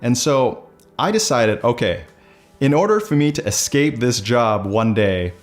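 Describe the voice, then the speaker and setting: Brief: man, 40s, calm mid-pitched interview voice, faint music under. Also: a little slow. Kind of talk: documentary interview.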